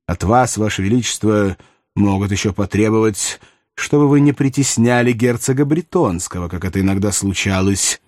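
A man voicing a wise, tactful court counsellor in a historical audiobook, measured, deferential and frank. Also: lowish voice, darkish tone, no echo, clean studio sound, close to an everyday voice. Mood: formal, composed. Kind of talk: dramatized audiobook reading of a historical novel.

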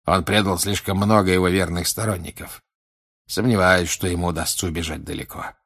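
A man acts out a grim older male wizard for an audiobook. The voice is low and husky, with deliberate, probing questions and an insistent menace. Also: fairly even intonation, a bit bright. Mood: quiet, menacing. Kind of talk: audiobook character acting.

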